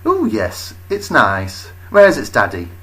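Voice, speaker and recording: A man's voice: mid-range voice, wildly swooping pitch, slow, sharp tone, full character voice. A man voicing a bewildered, indignant ordinary man in a comic story, blunt and exasperated. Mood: awkward politeness.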